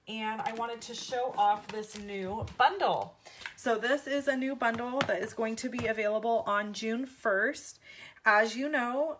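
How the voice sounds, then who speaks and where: A chatty woman talks through her paper crafts at a table with an easy, gushing manner. Her voice carries a slight allergy rasp, and she fills pauses with ums. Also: a little high, fairly bright tone. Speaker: stamping demonstrator. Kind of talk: casual craft video chat. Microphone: overhead camera on hands, speaker off-screen.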